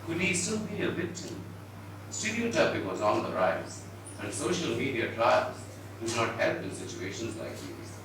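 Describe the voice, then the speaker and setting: A man giving a measured, expressive dramatised reading of literary fiction. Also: medium pitch. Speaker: reader at a book event. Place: indoor event venue before an audience. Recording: very echoey distant hall, noisy.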